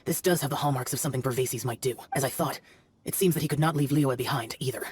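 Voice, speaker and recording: A man playing a stern, aloof, youthful immortal demon slayer in a video game, with solemn, formal, measured delivery. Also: mid-range voice, slightly rough, close to an everyday voice. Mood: somber. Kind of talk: scripted video game dialogue.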